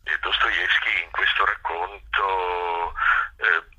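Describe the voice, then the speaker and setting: An older man with an extremely deep, rough-edged voice reflects in an interview. He takes his time, pausing often and repeating words as he searches for the next phrase. The sound is husky and unhurried. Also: steady tone, pushed and bright, rough recording. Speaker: theatre actor and director being interviewed. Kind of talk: reflective spoken interview answers.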